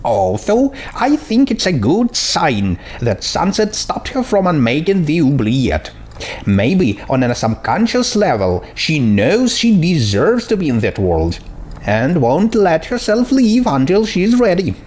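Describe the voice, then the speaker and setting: A man voicing a smug, self-assured female pony mage in a storybook reading, explaining things with calm certainty. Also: on the high side, unhurried, pitched above the performer's own voice. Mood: dismissive, assured.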